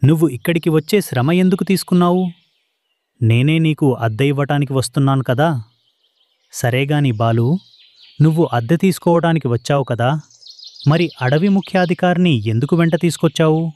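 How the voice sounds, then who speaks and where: A man's voice: a little high, brisk, long pauses, darkish tone, no echo, close to an everyday voice. A man playing a poor, trusting father pigeon, earnest and anxious, in a children's cartoon. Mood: grateful. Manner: earnest, innocent, pleading.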